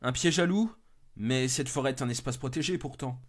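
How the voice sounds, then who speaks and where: A man's voice: medium pitch, normal speed, close to an everyday voice. A man voicing a concerned rescue-post radio operator in an amateur horror story, urgent and cautioning.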